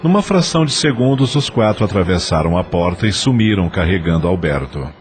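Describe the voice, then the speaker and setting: Male narrator for audio drama, deep voice, animated storytelling intonation. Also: fairly quick, slightly rough, soft background music.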